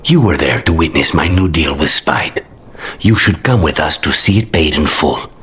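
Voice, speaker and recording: An actor playing a weary, suave assassin in a fantasy video game, speaking in a dry, measured, understated way. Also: male voice, extremely low pitch, lively intonation, slightly rough, heavily acted. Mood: calm, guarded. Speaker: companion character in a fantasy game. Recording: loud music behind the voice. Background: music.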